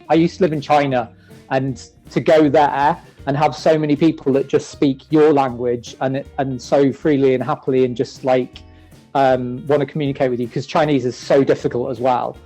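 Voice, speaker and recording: A man's voice: medium pitch, warm tone, low-quality recording. A British man chatting casually as an interview guest, thinking aloud and qualifying what he says as he goes. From Manchester, UK. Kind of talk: casual chat.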